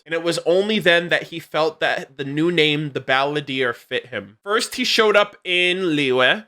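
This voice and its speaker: A man reading game lore aloud and commenting on it as a reaction video creator, in an emphatic, casual, excited fan style. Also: a little high. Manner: animated fan talk, emphatic, casually sweary.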